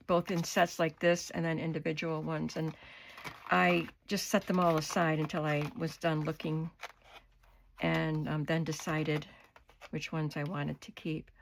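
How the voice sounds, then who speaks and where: An older woman with a cold and a hoarse voice, chatting unhurriedly as she shows her craft supplies in her home studio. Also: low voice, a little hiss or hum. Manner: chatty, unhurried, describing items up close. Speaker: craft vlogger. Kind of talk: casual show-and-tell haul.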